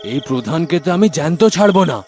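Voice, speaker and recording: A man's voice: on the high side, lively intonation, fast talker, darkish tone, soft background music, natural voice. A man voicing a brave, determined young village man who talks plainly in a cartoon dub. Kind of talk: cartoon dubbing.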